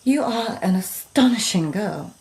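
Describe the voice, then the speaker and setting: A woman playing a poised, probing aristocratic lady of an ancient imperial court, with formal, measured speech in a radio drama. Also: medium pitch, animated, a little mellow, breathy edge, no room sound, exaggerated voice. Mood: cool, formal. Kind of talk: naturalistic radio drama acting.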